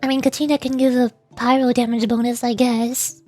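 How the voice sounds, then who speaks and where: A woman's voice: a little high, flat delivery, brisk, darkish tone. A woman streamer giving casual, chatty and playful commentary while playing a video game. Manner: playful, chatty, with silly sound effects. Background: game music.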